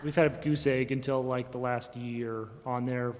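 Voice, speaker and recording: A man gives an informal, meandering explanation as a staffer at a public meeting. His voice sits at a middle pitch and often trails off mid-thought. The room has long reverb with faint noise behind him. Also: little pitch movement, moderate pace, a little mellow. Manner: rambling, casual, trailing off mid-sentence. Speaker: city staff member. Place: reverberant council chamber.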